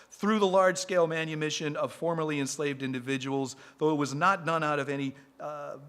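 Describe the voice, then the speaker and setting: A man reading a prepared talk to a live audience as a speaker for a historic site, measured and steady. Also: on the high side.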